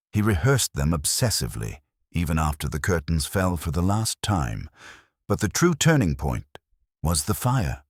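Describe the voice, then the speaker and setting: A man's voice: very deep voice, breathy edge, dry recording, clean studio sound. A man reading a scripted detective mystery as a dramatic audiobook narrator.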